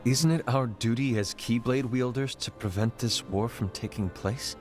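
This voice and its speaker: An actor playing a dutiful male warrior apprentice who speaks earnestly and solemnly in an animated game cutscene. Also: mid-range voice, moderate pace, faint music behind, natural voice.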